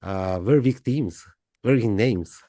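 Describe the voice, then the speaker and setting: Guy hosting a podcast, halting and fillery, mellow dark voice, dry close sound. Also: fairly low pitch, no room sound. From Mexico. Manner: halting, many fillers and restarts. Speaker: podcast host. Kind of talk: casual interview chat.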